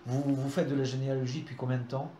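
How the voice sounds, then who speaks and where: A man interviews someone in a casual, polite way, often rephrasing to check he has it right. His voice sits at a middle pitch. The recording is echoey, with faint noise behind him. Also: little pitch movement, rapid-fire, warm tone. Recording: roomy echo, faint background noise.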